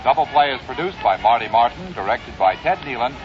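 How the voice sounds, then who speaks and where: A man's voice: medium pitch, expressive, a little fast, dull audio. A man reading a scripted 1950s radio sign-off with a polished announcer's delivery. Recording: band-limited 1950s radio with loud music. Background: music.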